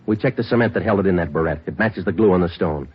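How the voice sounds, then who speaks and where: An actor playing a terse, relentless police sergeant in an old radio procedural, matter-of-fact and deadpan. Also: male voice, sits low, quick pace, a little hiss or hum, lightly acted. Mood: pressing, deadpan. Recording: muffled old radio broadcast.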